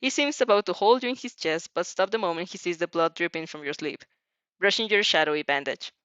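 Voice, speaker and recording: A woman reading fan fiction aloud as narrator, giggly and animated, often tripping over words and restarting. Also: fairly low pitch, a little fast, dry recording. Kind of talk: animated story reading with asides. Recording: dull lo-fi microphone.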